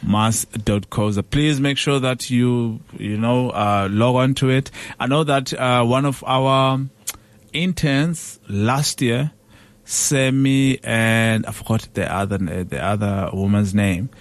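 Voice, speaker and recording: A man from South Africa hosting a radio chat show. His voice sits in a middle pitch, and he talks in a loose, easygoing way, full of fillers and quick laughs. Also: no room sound. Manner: loose and chatty, many fillers, laughs easily. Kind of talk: casual radio interview.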